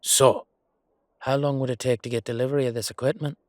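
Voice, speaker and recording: A man's voice: mid-range voice, fairly even intonation, a little fast, darkish tone, no room sound, clean studio sound, close to an everyday voice. Man acting as a folksy, shrewd fantasy knight. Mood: diplomatic. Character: male knight, genial, shrewd negotiator on royal business. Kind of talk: fantasy audio roleplay acting.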